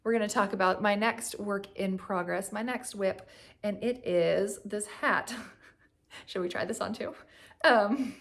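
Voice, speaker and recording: A young woman, slightly hoarse from a cough, chatting casually at home about her knitting, rambling with lots of fillers and little laughs. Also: medium pitch, measured pace. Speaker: knitting vlogger. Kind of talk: casual chat. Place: at home on a couch.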